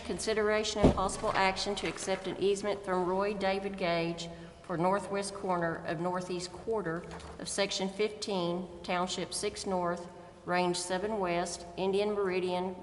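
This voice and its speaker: Woman chairing a council meeting, echoey. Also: on the low side. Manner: reads agenda items in a brisk, even cadence. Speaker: council chair reading agenda items. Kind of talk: formal meeting procedure. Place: council chambers. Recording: echoey council chamber, faint noise.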